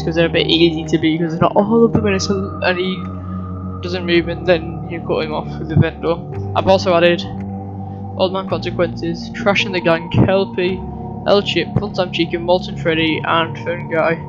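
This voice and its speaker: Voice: mid-range voice, fairly even intonation, normal speed, highs cut off. A gamer giving casual, rambling live commentary on a video game, muttering asides along the way. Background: game music and sounds.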